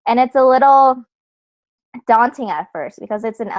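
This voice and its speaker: A woman's voice: fairly high pitch, expressive, normal speed, dry recording, low-quality recording. A young woman speaking as a guest, telling about volunteer work in a casual, chatty way full of "like" and "so". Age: young adult. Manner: chatty, uses many fillers like "like" and "so". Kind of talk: casual personal talk.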